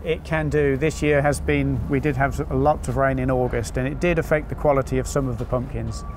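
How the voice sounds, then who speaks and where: A man's voice: medium pitch, fairly even intonation, fairly quick. Older man farmer, plain-spoken and agreeable, outdoor farm noise and passing vehicles behind, lapel mic. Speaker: pumpkin farmer. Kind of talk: casual explanation. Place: polytunnel on a pumpkin farm.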